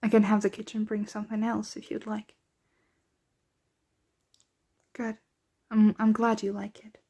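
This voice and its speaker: A woman playing a centuries-old, doting, aristocratic vampire mistress in an ASMR roleplay, speaking softly, tenderly and without hurry, often slipping into whispers. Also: mid-range voice, completely flat delivery, gravelly, breathy edge. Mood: doting, reassuring. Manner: soft, unhurried, tender, often drifting into whispers.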